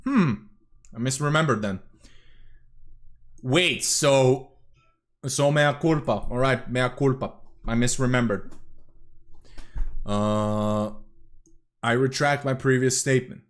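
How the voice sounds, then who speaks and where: A man's voice: medium pitch, slow pace, long pauses. A young man from Serbia, rambling through casual reaction commentary as a streamer, swearing often and correcting himself.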